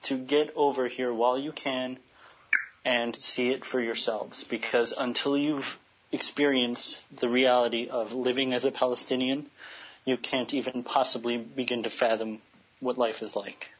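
A man from Chicago answers a radio interviewer earnestly, pausing and restarting as he hunts for the right words. His voice is husky and of middle pitch. The line sounds muffled and lo-fi, as if coming from far away. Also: level tone. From United States, Chicago. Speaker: interview guest.

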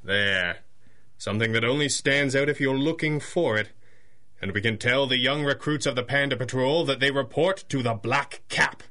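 A calm masked-hero boss played by a man explains things in a radio adventure. His voice is medium in pitch, measured and assured, with a shrewd, thoughtful manner. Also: close to an everyday voice. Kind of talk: 1930s-style radio drama acting.

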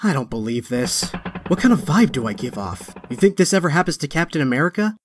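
An actor playing a young, wisecracking but stressed superhero in a comic dub, with a sardonic, conversational delivery. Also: male voice, fairly high pitch, big pitch swings, fairly quick, slight background noise. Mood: exasperated. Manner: sardonic, conversational inner monologue. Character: young male superhero, wisecracking yet stressed and self-doubting. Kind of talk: dramatic comic dub acting.

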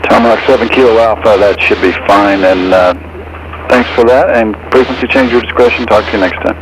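A man's voice: lowish voice, fairly even intonation, a little fast. A man working as an air traffic controller, speaking informally and conversationally over aviation radio. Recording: radio transmission with engine noise.

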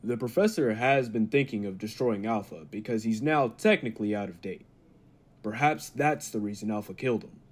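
An actor playing a grave, calculating laboratory assistant in an old-time radio drama, speaking formally with a husky voice. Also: male voice, on the low side, moderate pace, close to an everyday voice. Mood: grave, persuasive.

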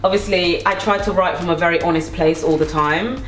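A young woman chats playfully in a home kitchen, talkative and teasing. Her voice sits a little low and reaches the mic from a few steps away. The room around her rings with echo. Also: fairly even intonation, brisk, fairly bright tone, recorded from a distance. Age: young adult. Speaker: singer hosting a cooking video. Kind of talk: casual chat while cooking.

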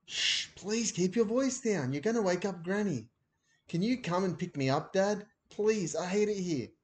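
A man voicing a bored young boy who pleads in a hushed whisper. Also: high-pitched, animated, moderate pace, voice pushed up. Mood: pleading.

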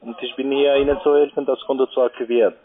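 A man's voice: mid-range voice, level tone, quick pace, crowd noise. A man with a foreign accent, not a native German speaker, insistent on a phone call in broken grammar. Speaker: phone scam caller. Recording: noisy tinny phone line.

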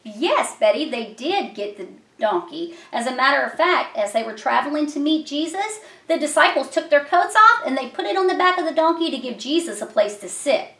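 A woman's voice: high voice, very animated, normal speed, hardly any pauses, fairly bright tone. A middle-aged woman hosting a children's puppet show, telling Bible stories in a simple, explanatory way. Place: small decorated room indoors. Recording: echoey room.

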